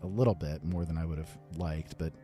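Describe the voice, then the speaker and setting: A man's voice: rumbling low, steady tone, fairly quick, warm tone, soft background music. A man reading a first-person fantasy story aloud in a wry, rueful way.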